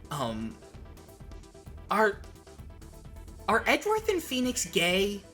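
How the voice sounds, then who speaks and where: A man's voice: sits high, sharp tone. A man hosting a casual scripted video essay, with a joking, sweary, self-interrupting manner.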